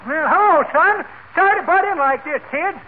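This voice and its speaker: A man's voice: very high-pitched, normal speed, narrow, tinny audio. An actor playing a folksy, chipper old man who calls everyone kids, in a 1940s radio comedy. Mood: chipper, rambling. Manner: folksy, calls people kids, daughter and son. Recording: scratchy, very noisy 1940s radio recording.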